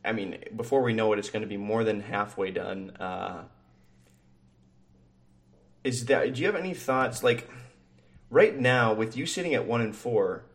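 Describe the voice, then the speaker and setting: A young man talking casually on a sports podcast. His voice sits low, and his talk rambles, full of fillers and easy chuckles. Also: moderate pace. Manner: rambling, lots of fillers, laughs easily. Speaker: podcast co-host. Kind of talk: casual chat.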